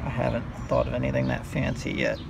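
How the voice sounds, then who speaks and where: A man talking through a hands-on repair tutorial in a halting, unscripted way, thinking aloud as he works outdoors. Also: medium pitch, flat delivery. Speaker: hobbyist demonstrating a repair. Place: outdoors by a car on a windy day. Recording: clear outdoor background noise.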